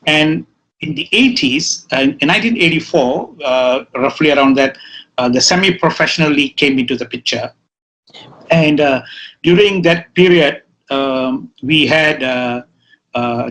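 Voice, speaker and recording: A man's voice: medium pitch, fairly bright tone, poor audio. A middle-aged man hosting a talk show interview, asking questions with many fillers and restarts. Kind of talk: casual interview.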